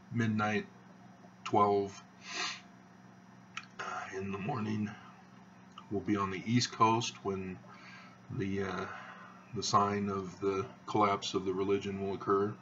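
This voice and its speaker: Slow monotone man, rambling. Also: on the low side, far from the mic, muffled recording, slight background noise. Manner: slow, halting, many fillers. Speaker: video channel host. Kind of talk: rambling monologue to camera.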